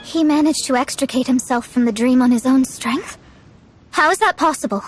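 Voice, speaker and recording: An actress plays a self-assured young woman astrologer with a high voice. She speaks in an articulate, bookish way with haughty, fiery drama, over faint game music. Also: moderate pace, lightly acted. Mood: incredulous. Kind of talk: scripted video game voice acting. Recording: music behind.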